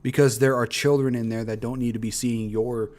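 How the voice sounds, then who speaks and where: A man's voice: mid-range voice, little pitch movement, fairly quick, a little mellow. A man giving casual, opinionated reaction commentary as a streamer, speaking bluntly and swearing freely. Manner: blunt, profane. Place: livestream reaction recording.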